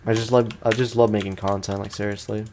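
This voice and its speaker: A man's voice: lowish voice, flat delivery, fast, darkish tone, a little hiss or hum. A man giving casual, rambling let's-play commentary with informal slang, chatting to viewers as he plays. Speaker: gaming content creator, let's play host. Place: room at home.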